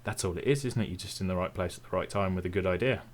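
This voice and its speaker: A man's voice: low-pitched, racing. A young man with an English Midlands accent, chatting casually and easily as an interview guest. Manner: relaxed, chatty, self-deprecating. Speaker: tech YouTuber guest.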